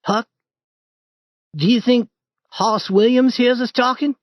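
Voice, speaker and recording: A man putting on a frightened, high young boy. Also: fairly even intonation, takes it slowly, dry recording, dull audio. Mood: fearful.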